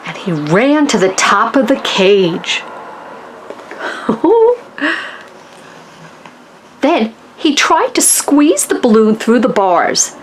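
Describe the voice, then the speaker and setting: A woman reads a picture book to young children in a high, swooping voice. She takes it slowly and adds chatty asides to the characters and listeners. There is some background noise. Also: big pitch swings. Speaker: children's storybook reader. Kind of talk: animated read-aloud for young children.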